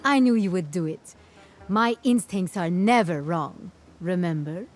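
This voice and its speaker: A flirtatious female ally speaks warmly and without hurry. Her tone is teasing and familiar. Faint music plays behind her. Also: lowish voice, expressive. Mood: flirty. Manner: warm, teasing, unhurried. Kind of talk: game cutscene dialogue.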